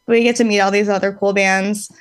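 A woman's voice: medium pitch, little pitch movement, fairly quick, dry recording. Chatty young woman singer, dry sound. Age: late 20s. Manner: rambling and reflective, with many fillers and easy laughs. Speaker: band singer, podcast guest. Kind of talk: casual interview chat.

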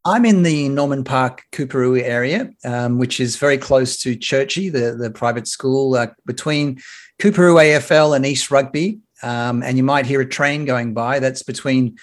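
A man in his fifties with an Australian accent, a broadcaster telling stories as a podcast guest in a relaxed way, with many fillers and restarts. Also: medium pitch, normal speed. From Sydney, Australia. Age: 50s. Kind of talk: casual interview chat. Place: home near a rail line.

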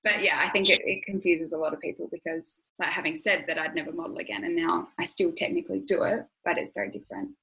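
A woman's voice: lowish voice, steady tone, quick pace, creaky, hardly any pauses, sharp tone, rough recording. A young Australian woman speaking as an interview guest, candid and reflective, with lots of "you know" and "like". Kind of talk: conversational interview.

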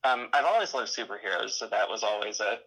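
A man chatting casually as an interview guest, halting often as he thinks aloud. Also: lowish voice, animated, sharp tone, slightly rough, rough recording. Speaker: interview guest, audio drama creator.

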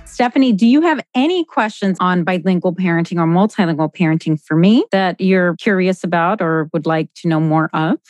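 A woman's voice: lowish voice, a little fast, hardly any pauses, darkish tone, dry recording, soft background music. A woman hosting a podcast interview, explaining points and asking questions. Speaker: podcast host.

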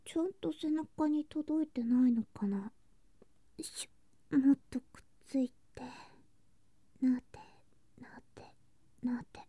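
A woman playing an affectionate, clingy younger girl cousin in an intimate bedtime ASMR drama, speaking softly and breathily, often in whispers, drowsy and coaxing. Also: sits high, measured pace, pauses often, husky, dry recording. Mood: tender whispering. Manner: soft, drowsy, coaxing, sweetly childlike.

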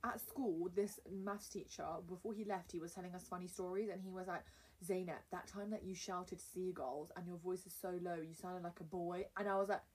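A woman's voice: on the low side, fairly even intonation, a little fast, far from the mic, a little hiss or hum. A young woman from England co-hosting a podcast from home, chatting casually and teasing in a playful way. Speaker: podcast co-host. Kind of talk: casual chat.

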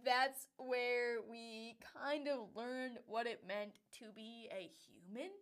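A young woman from Wisconsin co-hosts a casual podcast chat. Her voice sits a little high, and she talks bluntly, swears freely and laughs often. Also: steady tone, slow, fairly bright tone, clean tone. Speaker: podcast co-host.

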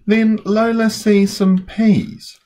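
A woman reading a children's story aloud in an expressive, scripted narration style. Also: medium pitch, slow pace. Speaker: storybook reader.

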